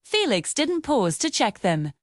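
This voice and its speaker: A woman's voice: on the high side, big pitch swings, measured pace, no room sound, studio quality. A woman reading a scripted children's story as its narrator.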